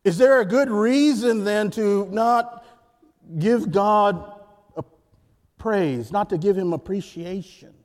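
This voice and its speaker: Male guest preacher, loud and emphatic, a little high-pitched, call-and-response delivery, long reverb of a church hall. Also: slow. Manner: emphatic, repeats key phrases, asks the congregation to answer. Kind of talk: sermon with jokes and call-and-response. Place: church sanctuary.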